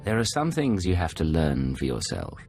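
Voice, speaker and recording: A cool secret agent from a sci-fi video game, low in pitch with animated swings. He talks in terse, probing lines and confronts people head-on. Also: moderate pace, soft background music, heavily acted. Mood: composed. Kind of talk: video game cutscene acting.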